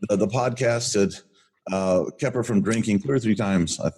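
An older man with a booming voice, co-hosting a podcast and telling a story in an enthusiastic, rambling way with many restarts. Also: moderate pace, darkish tone, breathy edge. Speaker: podcast co-host. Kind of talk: casual rambling chat.

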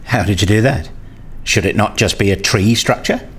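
A man's voice: lowish voice, moderate pace, a little hiss or hum, close to an everyday voice. A man playing a naive, curious researcher in a radio play, asking questions with an incredulous, inquisitive manner.

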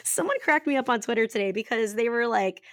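A woman in her thirties co-hosting a podcast, raspy and a little hoarse from a cough, chatting casually and laughing often as she rambles through stories. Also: fairly high pitch, fast, a bit bright, no room sound, clean studio sound. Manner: giggly, rambling storyteller who laughs often. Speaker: podcast co-host. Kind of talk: casual chat.

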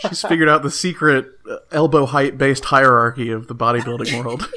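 A man's voice: mid-range voice, flat delivery, a little fast, crowd noise. A man co-hosting a comedic chat, reading posts in a dry, wordy way and chuckling.

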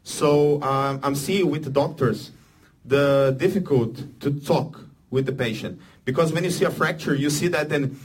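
Brazilian-accented surgeon, halting English. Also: male voice, fairly high pitch, slightly rough. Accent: Brazilian Portuguese. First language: Portuguese. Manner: halting non-native English, animated when acting out patients. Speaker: orthopedic surgeon speaking as guest. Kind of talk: unscripted talk to an audience.

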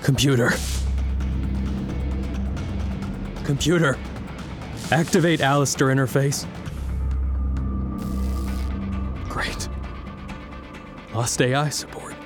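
A man's voice: mid-range voice, unhurried, music in the background, lightly acted. An actor in a science fiction radio drama playing a bitter, distrustful, desperate man, blunt and intense in his delivery. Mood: panicked, desperate.

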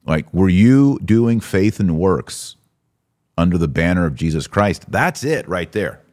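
A man's voice: low voice, big pitch swings, unhurried, darkish tone. A man hosting a podcast, giving opinionated religious commentary in an emphatic, exhorting manner with rhetorical questions. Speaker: podcast host.

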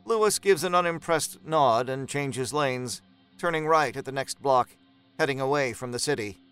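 A man's voice: medium pitch, moderate pace, fairly bright tone, clear, resonant tone, faint music behind. A man narrating a scripted horror story as part of an audio drama.

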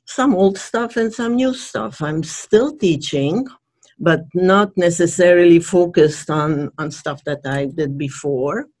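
Husky-voiced Hungarian-accented older man. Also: a little high, no echo. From Transylvania. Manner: thoughtful, pausing often with fillers. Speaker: retired professor and ESL tutor. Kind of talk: reflective interview conversation.

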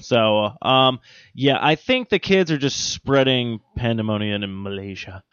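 A man's voice: medium pitch, animated, unhurried, fairly bright tone, clear, resonant tone, dry recording. A man talks as a podcast co-host in casual banter. He rambles, halts and stumbles over his words, with a loose, profane streak. The recording is close and dry, with a slightly muffled top end. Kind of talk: casual banter and reading odd news items.

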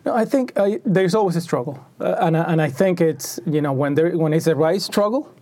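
A man's voice: fairly high pitch, animated, fairly quick, a little mellow. A man from Venezuela answering interview questions in a thoughtful, conversational way, often restarting his sentences. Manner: thoughtful, many restarts and fillers. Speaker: packaging engineer, interview guest.

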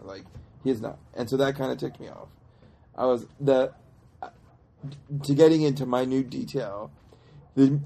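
Gravelly-voiced man, emphatic fan chat. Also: mid-range voice, mellow. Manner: emphatic, nitpicking comic-book fan. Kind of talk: casual chat, opinionated film discussion.

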